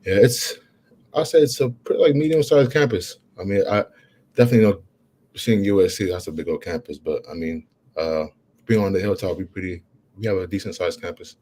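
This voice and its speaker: A young man, a college football player, answering interview questions in a halting way, with many "you know" fillers and restarts. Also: deep voice, dark tone. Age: young adult.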